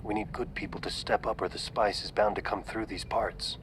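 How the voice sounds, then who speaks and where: A man plays a stoic armored bounty hunter from a screen space western. His voice is low, measured and plain. Steady noise sits clearly behind it. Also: natural voice. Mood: stoic, earnest. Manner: measured, terse, understated. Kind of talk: screen sci-fi western drama acting.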